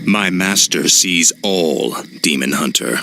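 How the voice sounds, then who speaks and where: A sly, tempting undead servant. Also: male voice, sits low, animated, slow pace, vocal fry, background noise, full character voice. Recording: game cutscene with music.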